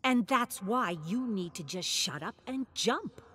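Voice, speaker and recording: A brash young man in an audio story, acted out by a woman narrator, teasing and dismissive. The pitch is pushed up and swings widely. He speaks emphatically, goading and coaxing an anxious partner. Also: lively intonation, a little slow, a bit bright, breathy edge.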